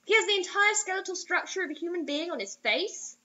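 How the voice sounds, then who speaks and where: Young woman, high-pitched, sharp bright tone, overwrought mocking read-aloud, dull lo-fi webcam sound. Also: lively intonation, a little fast. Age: young adult. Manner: theatrical, overwrought. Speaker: co-host reading bad fiction aloud. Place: small indoor room.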